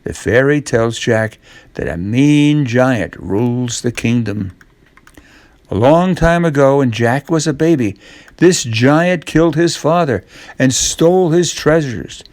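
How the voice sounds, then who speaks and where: Slow-paced older male storyteller. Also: mid-range voice, lively intonation, darkish tone, no echo. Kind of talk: children's storybook narration.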